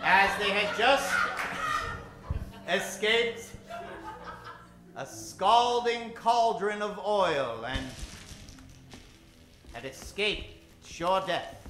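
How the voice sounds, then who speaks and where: Theatrical high-voiced man announcing old-time radio. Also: extremely slow, pauses often. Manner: theatrical, mock-grand showman. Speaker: host, announcer and narrator of a live radio show. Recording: roomy live stage sound with music.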